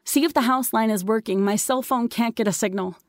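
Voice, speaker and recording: A woman's voice: on the high side, a little fast, dry recording, studio quality, pitched above the performer's own voice, lightly acted. A woman voicing a protective, besieged mother who urgently calls out orders to her children in an audiobook. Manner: raised, insistent, ordering. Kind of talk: audiobook character dialogue.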